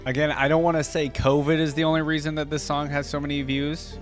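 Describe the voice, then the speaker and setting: A man's voice: fairly high pitch, level tone, fast, soft background music. A man giving casual, informal reaction commentary as a video host, musing aloud as he goes.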